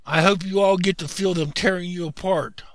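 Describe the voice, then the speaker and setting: A man's voice: high voice, fairly even intonation, fairly quick, no room sound, pitched above the performer's own voice. A man voicing a bitter, vengeful man who taunts and curses with spite. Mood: venomous gloating.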